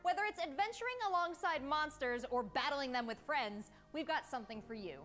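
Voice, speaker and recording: A woman's voice: high-pitched, animated, a little fast, a bit bright, soft background music. A woman hosting a game showcase, presenting from a script in an upbeat, polished announcer style. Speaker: showcase host.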